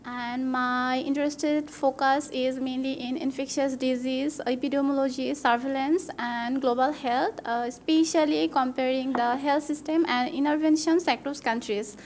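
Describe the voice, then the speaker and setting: A woman's voice: high-pitched, fairly even intonation, moderate pace, hardly any pauses, darkish tone, a little hiss or hum. A woman from Bangladesh, a graduate student, introducing herself in run-on sentences. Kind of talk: self-introduction.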